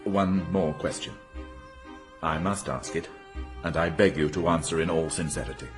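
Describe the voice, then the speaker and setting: Low-voiced, ceremonious aristocrat. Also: male voice, faint music behind. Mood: solemn, ceremonious. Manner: ceremonious, measured. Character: solemn, formal aristocratic Freemason sponsor. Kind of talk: British radio drama acting.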